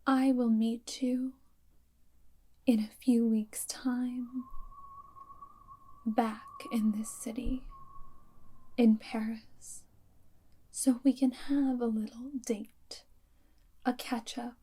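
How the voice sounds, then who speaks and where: A woman playing an aloof, commanding vampire girlfriend and wartime spy in an ASMR roleplay, speaking softly and deliberately in a breathy, often whispered voice. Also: a little high, flat delivery. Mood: hypnotic, commanding. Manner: slow, deliberate, hypnotic instructing.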